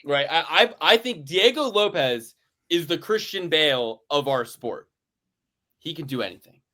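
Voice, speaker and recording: A man hosting a casual fight-betting podcast, playful and animated, swearing as he teases his co-hosts. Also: a little high, normal speed. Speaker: podcast host.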